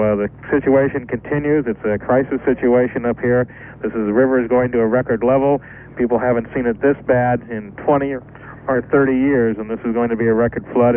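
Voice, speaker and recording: American male reporter on a muffled phone line. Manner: halting, ad-libbed delivery with self-corrections. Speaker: radio news reporter. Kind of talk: live radio field report. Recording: muffled phone line with faint rumbling noise.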